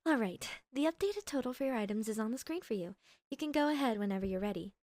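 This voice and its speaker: A woman's voice: on the high side, expressive, quick pace, a little mellow, no room sound, clean studio sound, lightly acted. A woman playing a polite, chatty cashier in a roleplay audio, perky and accommodating with customer-service courtesy. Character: polite, chatty young female shop cashier.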